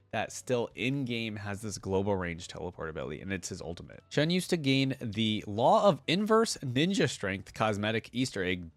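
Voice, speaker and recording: A man's voice: medium pitch, no echo. A man giving casual gaming reaction commentary as a video host, thinking aloud in an informal way.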